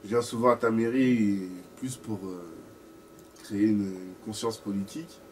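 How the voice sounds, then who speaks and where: Low-voiced man from the Central African Republic, soft introduction, far from mic, noisy room. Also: normal speed. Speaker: participant introducing himself. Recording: distant mic, noticeable room noise.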